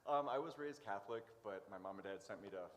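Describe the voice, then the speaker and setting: A man being interviewed as a guest, speaking informally in a halting, hesitant way with many pauses. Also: lowish voice, animated. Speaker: interview guest, church worker. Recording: echoey hall, noticeable background noise.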